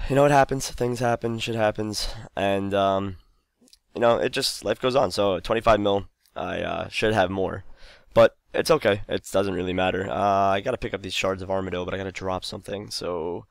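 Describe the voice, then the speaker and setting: A man's voice: low voice, gravelly, no room sound, studio quality. A young man giving casual, rambling commentary over his gameplay, full of fillers and restarts, tired and losing his voice. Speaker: gaming channel host.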